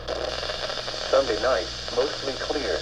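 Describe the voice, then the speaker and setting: A man's voice: medium pitch, expressive, extremely slow. A man reading a weather forecast, heard through a small radio speaker. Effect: played through a small radio speaker. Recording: noisy, humming weather radio broadcast.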